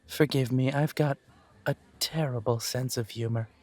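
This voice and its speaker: A man's voice: mid-range voice, fairly even intonation, normal speed, close to an everyday voice. A man voicing a courteous elven café owner. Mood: gracious. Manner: polite, formal, gently self-deprecating. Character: courteous elven male coffee shop owner. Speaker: shop owner interviewing a job applicant. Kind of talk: scripted one-sided roleplay audio.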